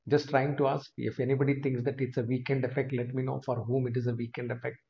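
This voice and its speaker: A man in his thirties with an Indian English accent, teaching a live online class from a small room and chatting to viewers by name. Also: medium pitch. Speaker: test prep teacher. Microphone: webcam corner of screen share. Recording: slightly dull lo-fi stream audio.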